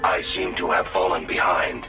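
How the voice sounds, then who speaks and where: Very deep, logical machine mind. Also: male voice, normal speed, far from the mic, music in the background, low-quality recording. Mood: cool, analytical. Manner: stiff, formal, analytical. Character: coldly logical male artificial intelligence machine companion. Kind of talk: video game cutscene acting.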